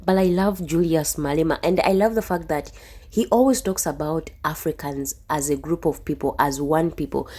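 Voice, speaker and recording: A young woman talks earnestly to her viewers in a casual reaction video, often repeating herself. Her voice is low and mellow. She stresses her points with conviction. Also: normal speed. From Uganda. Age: young adult. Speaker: YouTube reaction host.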